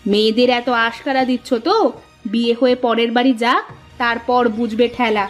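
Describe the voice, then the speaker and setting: A woman playing a middle-aged mother in a village cartoon. Her voice is high and she nags in an exasperated, scolding way over faint music. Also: fairly even intonation, fairly quick, lightly acted. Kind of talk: cartoon story dialogue. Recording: music under the voice.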